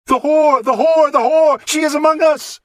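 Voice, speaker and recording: A man's voice: a little high, a little fast, no echo, pitched above the performer's own voice, close to an everyday voice. A man voicing a frenzied blind old monk who howls and chants in a raving fit. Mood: shrieking. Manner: shrieking, howling zealot chant.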